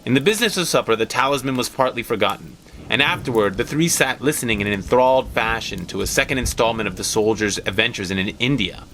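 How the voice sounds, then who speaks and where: A man reading a story aloud as an expressive scripted narration. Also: medium pitch, quick pace, a little hiss or hum, low-quality recording. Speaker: story reader.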